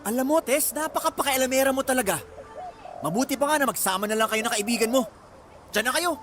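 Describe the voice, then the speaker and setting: An actor playing a jealous, abusive young boyfriend in a radio drama, with an accusing, sarcastic and menacing manner. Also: male voice, extremely high pitch, fast talker, over music. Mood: angry, dismissive. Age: young adult.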